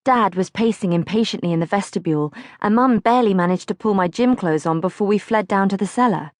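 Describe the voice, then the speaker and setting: A woman's voice: mid-range voice, quick pace, dry recording, studio quality. A woman narrating a childhood diary as an audiobook, reading expressively from a script. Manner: expressive, acts out questions.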